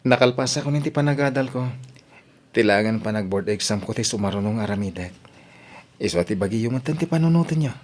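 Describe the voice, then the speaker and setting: A man's voice: on the low side, expressive, fairly quick. A young man with an Ilocano accent playing a polite, supportive son in an audio drama. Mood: polite, earnest. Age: young adult.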